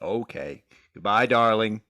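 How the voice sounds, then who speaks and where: A man plays a brash bandleader from an old radio comedy, slangy and fond. His pitch swings widely as he puts across lines in 1940s style. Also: expressive, unhurried, heavy background noise. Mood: doting, proud.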